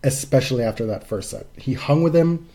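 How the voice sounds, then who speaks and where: A man with a relaxed, mellow timbre and middling pitch talks casually as a sports podcast co-host. He states his opinions emphatically and swears freely. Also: fairly quick. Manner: emphatic, frequent profanity.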